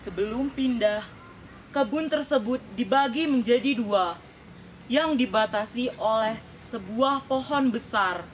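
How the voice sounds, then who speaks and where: A young woman tells a rehearsed folktale in a deliberate, dramatic storytelling style, phrase by phrase. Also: a little high, slight background noise. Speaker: storytelling contestant.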